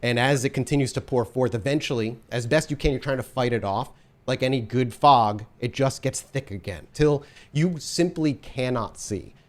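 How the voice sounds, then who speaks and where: A man acting as storyteller for a tabletop horror game, his voice sitting at an ordinary middle pitch. He paints the scene in vivid detail, then shifts easily into patient explanations of dice rolls and rules. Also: moderate pace.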